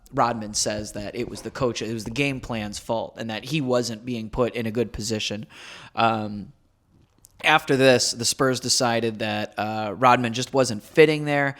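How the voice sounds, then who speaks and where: A man's voice: on the low side, a bit bright. A young man co-hosting a casual podcast, recapping a story in a laid-back way with frequent "uh" and "um" fillers. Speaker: podcast co-host telling the story.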